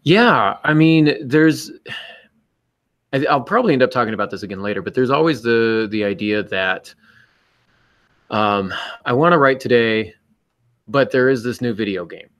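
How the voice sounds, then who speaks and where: A man's voice: mid-range voice, lively intonation, moderate pace, pauses often, fairly bright tone. A man co-hosting a podcast, talking reflectively in an earnest, emphatic, self-critical way. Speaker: podcast co-host and writer.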